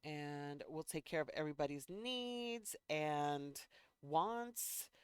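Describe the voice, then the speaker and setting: A woman's voice: low voice, animated, takes it slowly, clean tone, no echo, clean studio sound. A woman hosting a let's play, chatting casually and unhurriedly with fillers, a bit sniffly. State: sniffly, slightly congested. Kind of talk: casual gameplay commentary.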